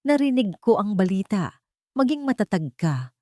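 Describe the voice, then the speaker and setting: A woman's voice: medium pitch, sing-song, normal speed, mellow, dry recording, clean studio sound, lightly acted. A woman voicing a scheming aunt in an audio drama, falsely tender and soothing. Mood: feigned sweetness. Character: scheming aunt feigning sweet concern.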